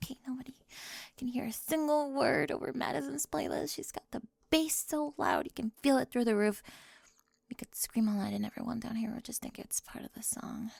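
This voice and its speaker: A young woman voicing a sharp-tongued cheerleader bully for an ASMR roleplay, sarcastic and defensive, often dropping to a whisper close to the mic. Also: sits high, fairly even intonation, fairly quick, creaky, no echo, full character voice. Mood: anxious.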